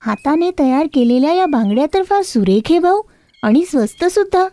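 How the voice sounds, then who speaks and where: A woman's voice: high voice, animated, fairly quick, dark tone, no echo, voice pushed up. A woman voicing friendly, appreciative village women customers in a storybook, chatty and approving. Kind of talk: storybook character voice.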